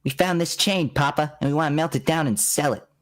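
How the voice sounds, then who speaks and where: A man's voice: a little high, fairly quick, natural voice. Man as street-smart boy, bossy, brash, slangy, evasive, radio play. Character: bossy, scheming street-smart boy caught by his father. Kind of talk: vintage radio drama acting.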